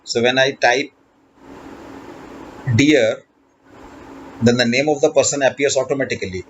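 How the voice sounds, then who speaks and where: A man giving an explanatory lesson as a lecturer, speaking haltingly and rephrasing as he goes. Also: medium pitch, steady tone, a bit bright. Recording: dull lo-fi recording with background noise.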